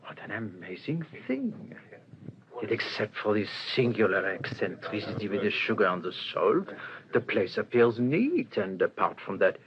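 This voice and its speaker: An actor playing a shrewd, self-assured police detective in a radio crime drama, with a theatrical delivery. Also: male voice, fairly low pitch, gravelly, exaggerated voice. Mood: puzzled musing. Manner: theatrical, musing then demanding. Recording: dull old radio recording, faint hiss.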